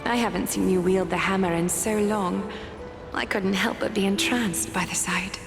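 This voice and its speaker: A woman's voice: mid-range voice, fairly even intonation, normal speed, vocal fry, lightly acted. Woman playing a serene demigoddess in long reverb. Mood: serene resignation. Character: serene fading demigoddess, resigned and dignified. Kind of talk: fantasy game voice acting. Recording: long echoing reverb, music underneath.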